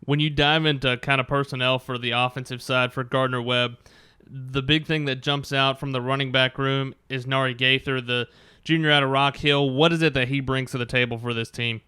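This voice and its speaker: A man's voice: medium pitch, steady tone, moderate pace, pushed and bright, clean tone, dry recording, studio quality. A man hosting a sports show, putting interview questions to a guest.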